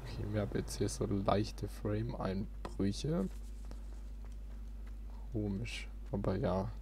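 A man's voice: lowish voice, slow, warm tone, a little hiss or hum. A man casually commentating as he plays a video game. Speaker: let's player.

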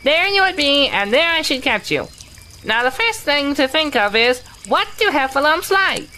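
A woman voicing a small, timid, eager young pig in an audio drama, fussy and quick to chime in. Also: high-pitched, sing-song, pushed and bright, slight background noise, heavily acted, rough recording.

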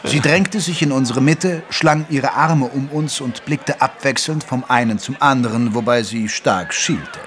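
Male narrator, medium pitch: genteel period doctor telling a mystery played by an actor, measured and literary. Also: background noise, natural voice. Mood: genial. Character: genteel Victorian doctor sidekick, good-natured man. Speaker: narrator and sidekick doctor in audio drama. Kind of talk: scripted narration, German audio drama.

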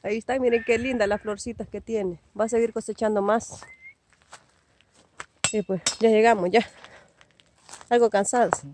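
A woman's voice: a little high, fairly even intonation, moderate pace, pauses often, a little mellow, slight background noise. A woman behind the camera, chatting casually and narrating for viewers outdoors in dry countryside, laughing often. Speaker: vlogger and camera operator. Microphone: camera she holds.

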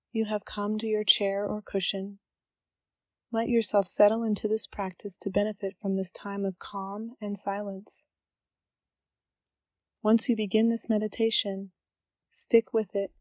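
A woman leading a scripted guided meditation, giving gentle, calm instructions with unhurried pauses. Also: mid-range voice, dry recording.

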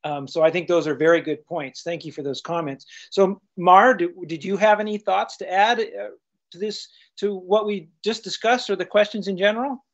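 A man's voice: high voice, steady tone, normal speed. A man moderating a panel discussion over a video call, summing up points and passing the floor. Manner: fluent, agreeing and summarizing. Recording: muffled video call audio.

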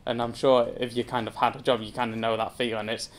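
A man's voice: mid-range voice, little pitch movement, fast talker. A young man in his twenties from England, telling his story to an audience from a lectern, casual and self-deprecating with many filler ums. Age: 20s. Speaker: zoo community engagement assistant giving a talk. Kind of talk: personal story talk to an audience. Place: indoor wood-panelled function room with seated audience. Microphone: speaking at a lectern.

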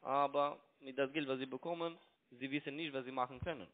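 A man's voice: medium pitch, fairly even intonation, fairly quick. A man who grew up in Italy, a call center trading broker, talking in broken German on a phone call, pushy and mocking. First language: Italian. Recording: tinny phone line.

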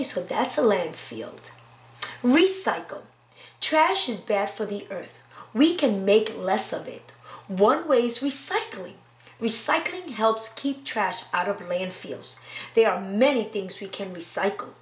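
A woman in her thirties teaching and reading to young children in an animated, simple, questioning way. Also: medium pitch. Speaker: preschool teacher. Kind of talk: lesson and read-aloud for young children.

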